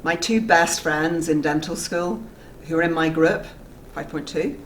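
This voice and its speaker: A woman, chatting in a relaxed, measured way with frequent ums as an interviewee at a dental school. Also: low voice, recorded from a distance, slight background noise, poor audio. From Belfast, Northern Ireland. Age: 40s.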